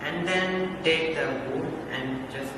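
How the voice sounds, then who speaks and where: Slow-talking teen boy in an echoey classroom. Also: a little high. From Sri Lanka. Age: teens. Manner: simple non-native phrasing with pauses. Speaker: student presenter. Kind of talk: step-by-step craft demonstration. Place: classroom indoors. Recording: echoey room, far camera, noisy.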